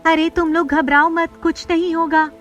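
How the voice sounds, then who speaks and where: A woman's voice: very high-pitched, level tone, normal speed, fairly bright tone, soft background music, pitched above the performer's own voice. A woman voicing an eager, reassuring schoolboy who leads his friends in a cartoon story. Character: schoolboy Rakesh, reassuring young leader of friends.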